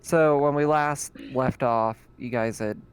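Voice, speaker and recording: A man's voice: medium pitch, clear, resonant tone. A man running a tabletop horror game as game master, narrating casually with frequent laughs and filler sounds. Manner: laughs often, fills pauses with "uh" and "um".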